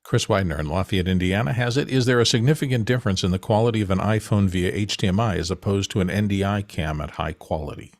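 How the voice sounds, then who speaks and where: A man's voice: deep voice, fast talker, gravelly, hardly any pauses. A man who reads out questions and chips in conversationally on an online panel. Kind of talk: reading out questions, conversational asides. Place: online video call.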